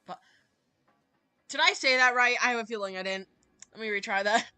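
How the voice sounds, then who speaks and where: A woman's voice: on the high side, fairly quick, bright, forceful tone, clean tone, no echo. A woman livestreamer reading a visual novel aloud, narrating dramatically and breaking off into playful asides. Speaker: streamer. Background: prominent music with plucked guitar.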